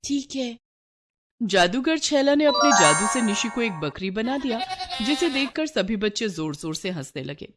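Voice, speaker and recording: A woman's voice: mid-range voice, sing-song, moderate pace, loud music behind the voice. A woman reading a scripted moral story for children as its narrator.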